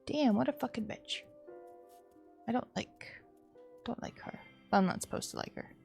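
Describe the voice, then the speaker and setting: A woman with a fairly high voice chats casually on a game livestream. She mutters low-key asides and swears offhand, with music playing behind her. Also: lively intonation, normal speed, vocal fry, darkish tone. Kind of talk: casual game stream chat.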